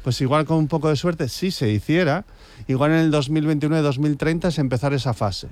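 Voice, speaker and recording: A man's voice: a little high, brisk, darkish tone. A man on a discussion panel, explaining things casually and sceptically.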